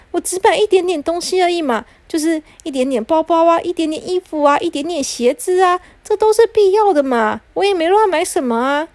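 A woman's voice: high voice, no room sound. A woman doing a whiny, defensive stepmother. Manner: whiny, pleading.

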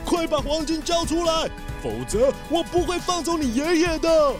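Lady doing a threatening bear bad guy for a kids radio play, high voice, music under it. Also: moderate pace. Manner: taunting, threatening. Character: menacing bear villain holding an old man hostage. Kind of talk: children's audio drama acting.

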